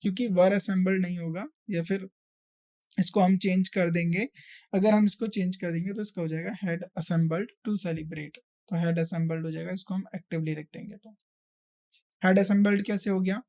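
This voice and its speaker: A woman's voice: fairly low pitch, steady tone, unhurried, mellow. A woman with an Indian accent teaching a grammar lesson step by step, repeating points and checking in with short questions. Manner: repetitive step-by-step explaining, frequent check questions. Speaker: exam-prep English grammar teacher. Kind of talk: explanatory lesson delivery, mixing Hindi and English.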